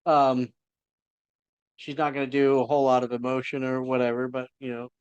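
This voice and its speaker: A man's voice: medium pitch, completely flat delivery, a little fast, clean tone, no room sound, dull audio. A middle-aged man, an indie author, chatting casually on a podcast in a rambling, self-interrupting way.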